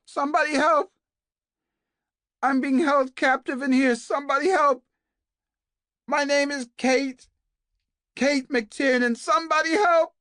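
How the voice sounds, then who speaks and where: A narrator voicing a desperate captive woman doctor who shouts frantically for help. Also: high-pitched, steady tone, measured pace, long pauses, no echo, studio quality, voice pushed up.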